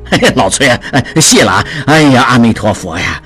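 A man voicing an excitable, easily rattled merchant in an audiobook, talking in fast, stammering exclamations. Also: medium pitch, breathy edge, soft background music. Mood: relieved. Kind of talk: audiobook character voice.

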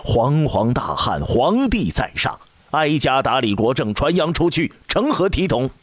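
A furious old empress dowager from a storybook drama, put on by a male narrator. Her commanding voice sits high and husky, leaping sharply between lines. The sound is dry and close. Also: sing-song, moderate pace, darkish tone, no echo. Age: older. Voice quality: commanding, scolding.

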